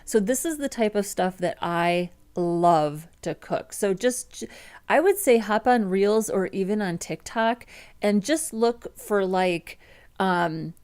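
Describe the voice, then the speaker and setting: A woman's voice: medium pitch, slow pace, a little mellow, clear, resonant tone. A woman in her thirties with a Midwestern American accent, chatting casually on a livestream and reading viewer comments, with many ums and restarts. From United States. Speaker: web developer streamer.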